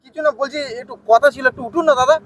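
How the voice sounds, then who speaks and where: A man plays an earnest, naive passer-by in a comedy skit, with an extremely high male voice. He is polite, hesitant and keeps prying and moralizing, over faint background noise. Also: fairly even intonation, rapid-fire. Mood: timid, coaxing. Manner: deferential, hesitant, persistent questioning.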